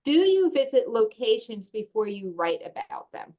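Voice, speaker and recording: A woman's voice: fairly high pitch, very animated, normal speed, lo-fi sound. A woman informally moderating a video call, reading out questions sent in by the audience. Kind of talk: informal hosting of an online Q&A.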